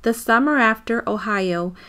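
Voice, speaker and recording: A woman's voice: a little high, normal speed, fairly bright tone. A woman playing a grown narrator who looks back on childhood in a nostalgic radio play, telling it in a reflective, scripted way.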